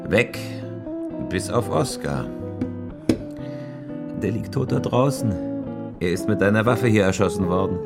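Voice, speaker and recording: An actor playing a cool, terse professional robber in a radio drama, laconic and unflustered. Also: male voice, fairly low pitch, a little slow, creaky, long pauses. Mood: curt, cold. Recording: music behind the voice.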